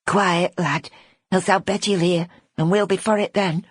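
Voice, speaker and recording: A woman voicing a motherly poor orphan girl with a Northern English accent, gentle but firm, in an audiobook. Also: fairly low pitch, steady tone, a little slow, breathy edge, no echo, studio quality, pitched above the performer's own voice. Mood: hushed, soothing. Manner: coaxing, plain dialect talk. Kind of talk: audiobook character acting.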